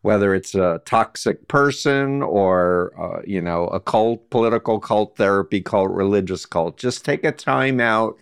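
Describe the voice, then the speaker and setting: A man's voice: on the low side, measured pace, creaky, few pauses, clear, resonant tone. A man hosting an interview, listening closely, then explaining advice from his own talk. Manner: attentive, explanatory, drifts to advice. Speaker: podcast host. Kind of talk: interview conversation.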